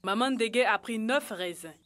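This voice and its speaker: A woman voicing a sensible, careful young friend in a children's educational cartoon, explaining things clearly. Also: on the high side, unhurried, a bit bright. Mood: matter-of-fact.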